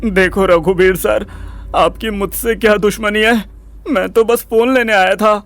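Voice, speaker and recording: A man's voice: sits high, steady tone, a little slow, fairly bright tone, soft background music, pitched above the performer's own voice. A man playing a timid, frightened young man in an audio drama, stammering and pleading. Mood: scared, pained. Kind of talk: Hindi audio drama acting.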